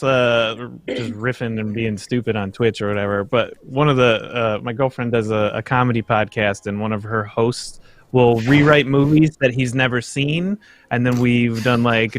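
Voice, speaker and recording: Relaxed young streamer, dry close sound. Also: male voice, medium pitch, dry recording. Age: young adult. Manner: relaxed, halting with many "uh"s. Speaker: streamer and guest reader. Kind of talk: casual chat.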